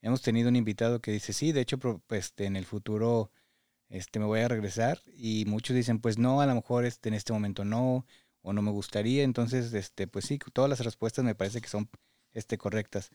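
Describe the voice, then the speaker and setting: A man's voice: on the low side, fairly even intonation, fairly quick, dark tone, no room sound, clean studio sound. A man with a Mexican Spanish accent hosts a podcast, interviewing a guest in a conversational way.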